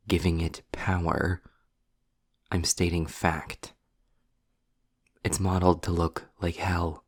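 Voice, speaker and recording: A man playing a sardonic, world-weary demon in an intimate roleplay audio drama, deliberate and breathy, often dropping toward a whisper. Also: sits low, exaggerated voice. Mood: dry, irritated. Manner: sarcastic, deliberate, sighing.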